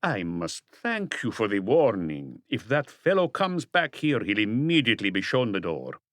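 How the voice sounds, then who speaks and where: A man voicing a suave, guarded crook turned businessman in an audiobook, with slow, smoothly polite, deliberate speech. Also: lowish voice, very animated, a bit bright, no room sound, studio quality. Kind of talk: audiobook character dialogue.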